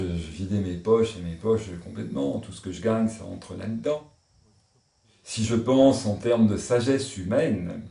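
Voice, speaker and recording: A man's voice: on the low side, vocal fry, warm tone. A man who belongs to a religious order, speaking earnestly and thoughtfully in an interview, thinking aloud as he goes. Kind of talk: reflective interview talk. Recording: echoey room, mic at a distance.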